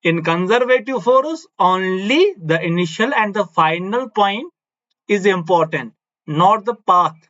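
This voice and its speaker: A man's voice: sits high, lively intonation, unhurried, a bit bright, highs cut off. A man whose first language is Kashmiri, teaching physics on a board and repeating key phrases, often checking with "no?". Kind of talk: blackboard physics lecture.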